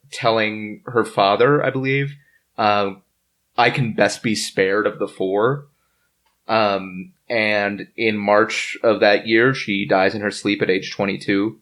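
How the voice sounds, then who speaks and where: A man hosting a podcast, telling history from notes in a casual, halting way among the group. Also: lowish voice, a bit bright. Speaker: podcast host.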